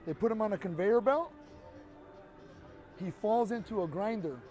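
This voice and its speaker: A man's voice: high voice, normal speed, a little mellow, faint music behind. Earnest man lecturing on a city street. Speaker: street activist. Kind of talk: persuasive street conversation.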